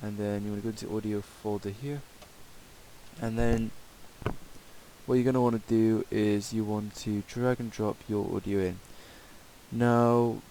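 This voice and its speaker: A man giving a casual, improvised software tutorial in a hesitant, rambling way. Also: on the low side, flat delivery, measured pace, low-quality recording. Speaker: tutorial presenter. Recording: hissy home microphone recording.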